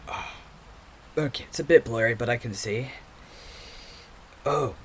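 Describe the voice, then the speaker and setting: A man playing a restless, overthinking investigator with magical sight in an audio drama, muttering haltingly to himself. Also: mid-range voice, slight background noise, close to an everyday voice. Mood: concentrating.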